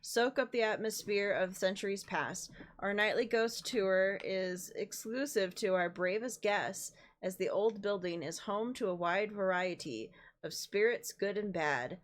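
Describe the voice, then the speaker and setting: Woman, hoarse, losing her voice, game streamer, casual and laughing, mid-pitched. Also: unhurried, hardly any pauses. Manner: laughs often, swears casually. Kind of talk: casual gameplay chat, reading in-game notices aloud.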